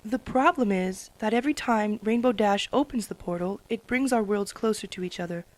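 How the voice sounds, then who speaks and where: A woman voicing a regal, solemn pony princess in a fan dramatic reading, speaking in a measured, formal way. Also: mid-range voice, close to an everyday voice. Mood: grave. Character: regal, solemn female sun princess ruler.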